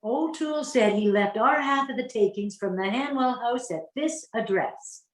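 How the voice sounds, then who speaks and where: A woman's voice: a little high, moderate pace, close to an everyday voice. A woman playing a bossy, sardonic big-sister burglar in a comic radio play, recorded in an echoey space. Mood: sardonic, wary. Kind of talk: comic old-time radio play acting. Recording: echoey, roomy pickup.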